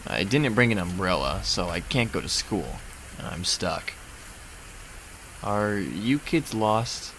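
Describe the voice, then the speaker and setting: Guy voicing a tired laid back teacher in a game fandub, lowish voice, low-key and a bit wry. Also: sing-song, slow, fairly bright tone, slight background noise, full character voice, rough recording. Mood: mildly puzzled. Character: weary, laid-back male schoolteacher, mildly wry. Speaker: voice actor in fan dub. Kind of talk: fan dub game acting.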